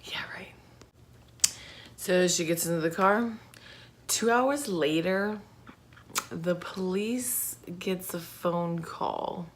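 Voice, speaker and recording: A woman with a low voice tells true crime stories at a slow pace. She is informal and conversational, dropping in slangy asides. There is faint noise behind her. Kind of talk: casual true crime storytelling.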